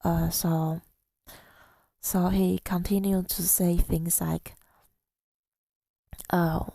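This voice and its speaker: A woman speaks softly and slowly in a lowish voice, heavy with fry. She tells her story in a gentle ASMR manner with a breathy, whispery edge, very close and dry on the microphone. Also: level tone, no room sound. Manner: gentle, unhurried, partly whispered. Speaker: ASMR audio creator. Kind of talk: soft-spoken ASMR storytelling.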